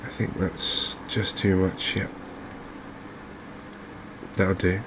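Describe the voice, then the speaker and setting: A man speaking softly and unhurriedly in an ASMR style, murmuring his way through video game commentary as a streamer. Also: deep voice, level tone, noisy background. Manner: soft-spoken, unhurried, murmuring to himself.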